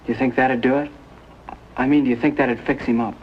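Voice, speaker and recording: A man's voice: mid-range voice, steady tone, fairly quick, a little hiss or hum, close to an everyday voice. Man acting an earnest teenage brother, muffled old soundtrack. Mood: hopeful. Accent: American. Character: earnest, protective older brother in his late teens. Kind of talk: 1950s television drama acting.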